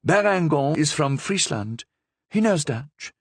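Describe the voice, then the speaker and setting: A woman's voice: low voice, animated, unhurried, slightly rough, no room sound, pitched above the performer's own voice, exaggerated voice. A man playing an eager court lady.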